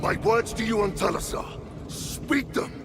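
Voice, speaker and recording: An actor playing a stern, commanding veteran warrior lord in a video game, speaking in formal, imperious orders. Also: male voice, sits high, measured pace, heavy background noise. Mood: stern, suspicious. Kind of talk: dramatic video game acting. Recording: echoey, under loud music.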